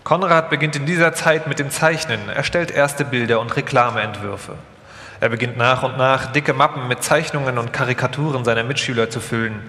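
A man's voice: medium pitch, steady tone, brisk, sharp tone. Male documentary narrator in an echoey hall. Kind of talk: scripted documentary narration, performed live. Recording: echoey hall, long reverb.

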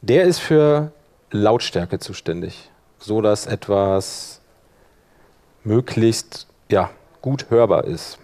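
A man leading a workshop, explaining informally and thinking aloud while he operates the software. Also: lowish voice, expressive, slow pace, pauses often. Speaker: workshop instructor.

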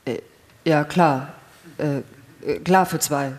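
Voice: medium pitch, slow pace. A rule-abiding astronaut in a sci-fi radio drama, voiced by an actor. The delivery is resigned and matter-of-fact. Sentences come out terse, at times hesitant. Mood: resigned, uneasy. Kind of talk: radio play acting.

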